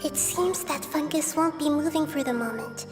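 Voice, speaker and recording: Woman playing a gentle wise little girl deity, high voice, soft measured delivery, game music behind. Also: lightly acted. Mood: watchful, calm. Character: small, gentle, wise child-like dragon-god girl. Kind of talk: video game voice acting.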